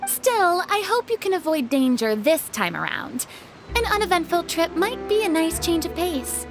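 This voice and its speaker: A high-voiced, gracious young woman in a video game. She speaks gently and reassuringly with expressive rises and falls, over faint music. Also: moderate pace, heavily acted. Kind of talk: video game character acting.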